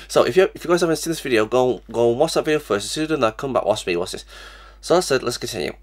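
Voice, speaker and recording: A man's voice: mid-range voice, animated, racing. Sniffly stammering young man with a cold. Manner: stammering, many restarts and fillers. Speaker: reaction channel host. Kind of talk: casual reaction vlog. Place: small cluttered room indoors.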